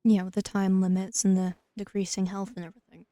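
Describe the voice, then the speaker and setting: A woman hosts a casual let's play. Her voice is soft-edged and relaxed, recorded dry, and she drops wry, deadpan-leaning asides as she plays. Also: medium pitch, fast, dry recording. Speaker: gamer, let's play host. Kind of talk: casual game commentary.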